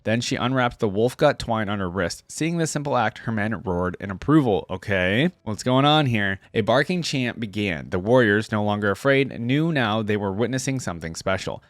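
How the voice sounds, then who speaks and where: A man's voice: fairly low pitch, expressive, normal speed, few pauses, no room sound. A man hosting a reaction video, reading a story aloud and commenting informally in a casual style. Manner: informal, breaks off to comment on what he reads.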